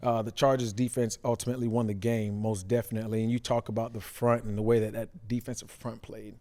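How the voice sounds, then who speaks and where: Mellow-voiced man giving casual football analysis. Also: on the low side, level tone, quick pace. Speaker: former safety, show analyst.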